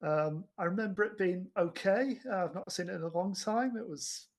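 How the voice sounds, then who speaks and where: A man's voice: sits high, moderate pace. A man chatting casually as a podcast host about films, with a hesitant delivery full of repeated restarts. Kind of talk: casual chat reviewing films.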